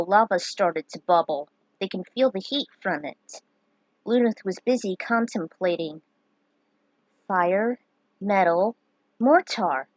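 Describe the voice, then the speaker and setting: A woman's voice: mid-range voice, darkish tone, no echo, poor audio. A woman reading fantasy fan fiction aloud as its narrator. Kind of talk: story narration read aloud.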